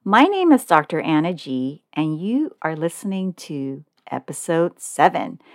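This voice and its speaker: Chatty woman podcast host, lively pitch. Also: unhurried, no echo, clean studio sound. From Oakland, California. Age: 40s. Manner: upbeat, chatty and personal. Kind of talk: scripted podcast monologue.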